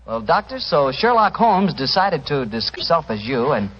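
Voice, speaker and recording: A man's voice: fairly high pitch, sing-song, normal speed. An American man announcing and selling on a 1940s radio show, with a folksy, persuasive salesman's delivery. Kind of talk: 1940s radio sponsor pitch. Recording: muffled lo-fi 1940s radio recording.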